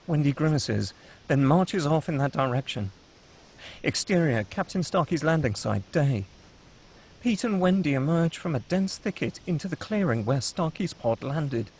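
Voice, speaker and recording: A man's voice: on the high side, lively intonation, normal speed, a little mellow, slightly rough. A man reading screenplay action and scene headings as the narrator of a table read.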